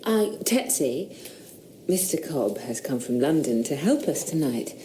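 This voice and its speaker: An actress playing a squire's wife in an audio drama, wry and a little complaining. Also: female voice, deep voice, big pitch swings, a little slow, warm tone, reverberant, slight background noise, rough recording. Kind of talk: radio drama acting.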